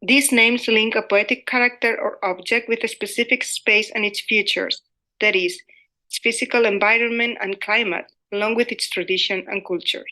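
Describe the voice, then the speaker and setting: A woman's voice: mid-range voice, steady tone, moderate pace, bright, forceful tone. A woman from Spain, a native Spanish speaker, reading a scripted conference paper in a formal, careful way as an academic researcher. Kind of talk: scripted conference presentation read aloud.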